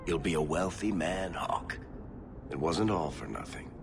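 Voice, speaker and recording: A man's voice: low-pitched, measured pace, creaky, background noise. A man playing a wry, sardonic roguish storyteller in a fantasy game, with music behind him. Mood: somber. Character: wry storyteller, roguish dwarf companion recounting past adventures. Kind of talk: cinematic fantasy game dialogue and narration.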